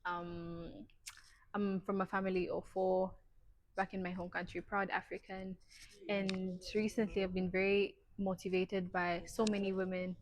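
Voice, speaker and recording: A young woman from Zambia, a university student, answering interview questions casually. She laughs lightly and grows more animated when talking about her cause. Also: mid-range voice, measured pace, a little hiss or hum. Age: young adult. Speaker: podcast guest.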